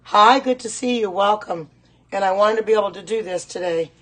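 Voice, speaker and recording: A middle-aged woman with a hoarse voice, chatting casually and repeating herself as she hosts a live cooking demonstration. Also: medium pitch, brisk, a bit bright, poor audio. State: hoarse voice, clearing throat at times. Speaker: host of a live home cooking stream. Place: home kitchen.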